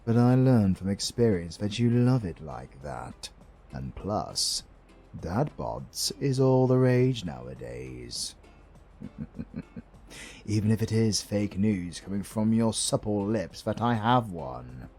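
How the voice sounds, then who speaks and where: Gravelly British retired supervillain husband, played by a man. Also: on the low side, sing-song, slow, mellow, soft background music, heavily acted. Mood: teasing, flirty. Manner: theatrical, teasing, cooing endearments. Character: retired male supervillain husband, smug, doting, playfully flirty. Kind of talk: romantic comedy roleplay audio.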